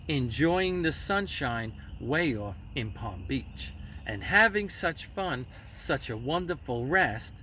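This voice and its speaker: Animated, bright-voiced man reading a storybook. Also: on the high side. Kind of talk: expressive children's storybook reading. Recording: slightly distant mic, faint background noise.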